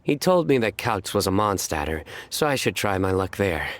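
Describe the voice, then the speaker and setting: A man playing an earnest young card-game devotee in a video game, with a formal, precise, deadpan delivery. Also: on the low side, normal speed, noisy background.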